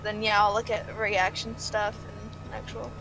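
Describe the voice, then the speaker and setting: A woman's voice: medium pitch, monotone, moderate pace, vocal fry, background noise. A woman chatting casually with friends during a video game playthrough. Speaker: voice actor in a group game playthrough. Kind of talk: casual group banter over gameplay.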